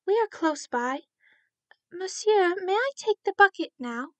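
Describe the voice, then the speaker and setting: A woman playing a timid eight-year-old servant girl in a radio drama, polite, halting and deferential. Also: very high voice, lively intonation, no room sound. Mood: meek.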